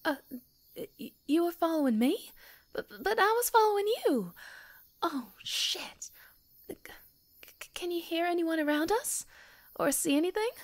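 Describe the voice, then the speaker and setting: A woman playing a sweet, jittery, chatty young woman in an ASMR roleplay, with a girlish manner. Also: sits high. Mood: worried, nervous.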